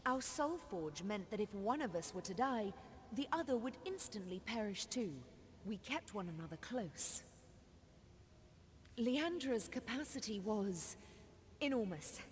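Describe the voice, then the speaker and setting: A proud, sorrowful forest sorceress speaks in a long, ringing reverb. Her delivery is measured and formal, at a middling pitch, like a dramatic confession in a fantasy video game. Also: sing-song, a little hiss or hum. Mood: sorrowful, reflective. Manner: measured, solemn, formal storytelling.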